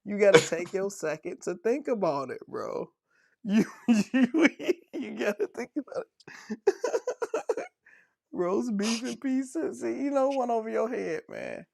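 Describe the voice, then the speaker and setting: Gravelly-voiced dude on a podcast, jokey and laughing a lot, dry close sound. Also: male voice, high voice, big pitch swings, unhurried, no room sound. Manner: playful, laughs at own dad jokes. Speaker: podcast co-host. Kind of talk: casual podcast chat.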